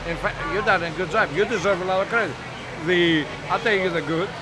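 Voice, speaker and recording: A man's voice: high voice, brisk, poor audio. An older man, the owner's father, talking plainly and bluntly in a restaurant, repeating his points. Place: restaurant dining room. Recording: busy crowd chatter behind.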